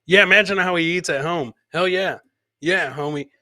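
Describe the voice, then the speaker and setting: A man's voice: high-pitched, normal speed, sharp tone, no echo, studio quality. A man hosting a comedy podcast, chatting casually and bantering with viewers in informal, profane language. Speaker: podcast host. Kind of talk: casual comedic livestream chat.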